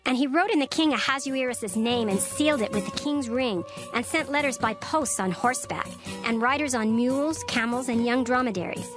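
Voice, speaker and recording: A woman narrating a dramatized scripture reading in a measured, formal manner. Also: a little high. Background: music.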